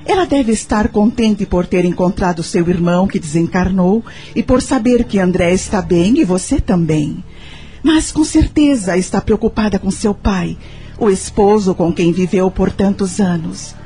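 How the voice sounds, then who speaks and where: An actress playing a sympathetic, curious female friend in a radio drama, gentle and thoughtful. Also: medium pitch, normal speed, faint music behind, lightly acted. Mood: thoughtful, advising. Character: Lúcia, sympathetic, curious woman friend and confidante.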